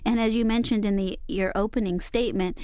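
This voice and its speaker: A woman's voice: medium pitch, steady tone, brisk, a little mellow, clear, resonant tone, no room sound. A woman co-hosting a talk show, speaking in an earnest, easygoing way and laughing easily. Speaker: co-host. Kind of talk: casual chat on a talk show.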